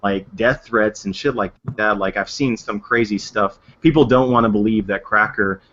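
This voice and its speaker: A man's voice: fairly low pitch, normal speed, slight background noise. A man from the United States hosting a casual group chat over an online call, talking in eager bursts with frequent restarts. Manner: animated, talks in bursts with restarts. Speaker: stream host. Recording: dull lo-fi stream audio.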